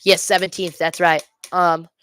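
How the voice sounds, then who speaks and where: A woman's voice: fairly low pitch, level tone, unhurried, a bit bright. A woman giving live amateur hockey play-by-play for a fan watch party, correcting herself and explaining informally. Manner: exasperated Devils fan, self-correcting, explains plays informally.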